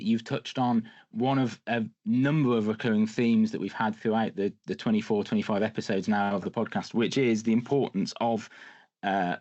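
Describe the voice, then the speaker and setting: A man's voice: mid-range voice, darkish tone, dry recording, highs cut off. A man hosting a podcast, welcoming his guest and asking questions with upbeat encouragement. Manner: enthusiastic, encouraging interviewer. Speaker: podcast host. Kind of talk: podcast interview.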